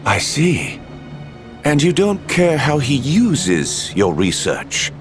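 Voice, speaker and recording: An actor playing a suave, calculating gambler villain in a video game, with a genteel, smugly theatrical manner. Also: male voice, mid-range voice, wildly swooping pitch, takes it slowly, soft background music. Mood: cool, guarded. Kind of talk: video game cutscene acting.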